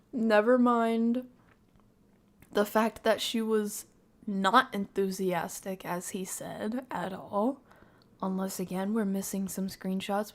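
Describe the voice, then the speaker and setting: Casual woman thinking aloud on stream. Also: medium pitch. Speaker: livestream host reacting to a video. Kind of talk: casual live reaction commentary.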